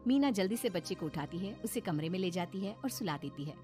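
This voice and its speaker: A woman narrating a moral story in an expressive, scripted storytelling style. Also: fairly low pitch, fast, soft background music.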